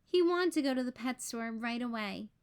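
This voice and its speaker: A woman reading a children's picture book aloud as storyteller, with deliberate phrasing for young listeners. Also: on the high side, lively intonation, clear, resonant tone.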